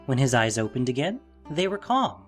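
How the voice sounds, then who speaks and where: A man's voice: medium pitch, very animated, normal speed, soft background music. A man narrating a fantasy story as scripted dramatic prose.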